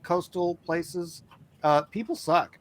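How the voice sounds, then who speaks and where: A man's voice: sits high, takes it slowly. A man chatting casually as a podcast co-host. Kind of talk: casual chat.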